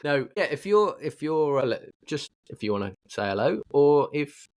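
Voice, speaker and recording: A man's voice: on the high side, big pitch swings, normal speed, darkish tone. A man with a British accent chatting casually as a podcast co-host, given to jokey, sarcastic banter. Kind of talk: casual chat.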